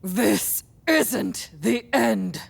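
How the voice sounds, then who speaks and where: A woman's voice: a little high, drawn-out words, gravelly, slightly rough, heavily acted. A woman playing a defiant, vengeful vampire in an audio drama, sneering with savage menace. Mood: menacing, gloating.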